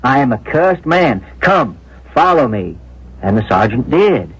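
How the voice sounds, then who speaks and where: An actor playing a grave, considerate police inspector in a 1970s radio drama, speaking in a measured, deliberate, official way. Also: male voice, mid-range voice, sing-song, breathy edge, exaggerated voice. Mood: solemn. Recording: muffled vintage radio broadcast.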